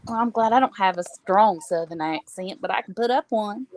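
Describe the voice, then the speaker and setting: A woman co-hosting a paranormal chat, talking casually and haltingly, searching for her words with ums and restarts. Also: mid-range voice, darkish tone, a little hiss or hum.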